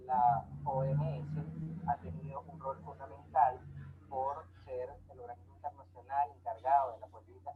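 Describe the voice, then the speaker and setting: A man from Venezuela, giving a measured online lecture with frequent hesitations. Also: medium pitch. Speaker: lecturer on tax law. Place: home, remote online talk. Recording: tinny phone-like audio, faint noise.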